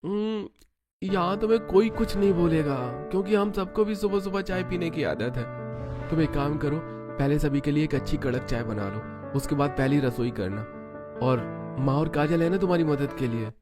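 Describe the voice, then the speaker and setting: A man voicing a calm, reassuring young newlywed husband in a cartoon story. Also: on the high side, normal speed, over music, pitched above the performer's own voice, close to an everyday voice.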